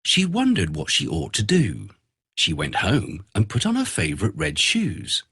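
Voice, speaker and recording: A narrator with a husky voice reading a children's bedtime story in an expressive storytelling way, a man. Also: on the low side, measured pace.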